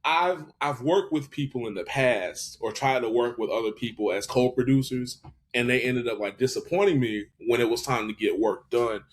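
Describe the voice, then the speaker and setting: Man in his twenties, podcast guest, mid-range voice, thoughtful and halting, plenty of restarts; works as a candid interviewee. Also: medium pitch. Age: 20s. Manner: hesitant, thoughtful, frequent restarts and fillers. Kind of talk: casual reflective interview talk.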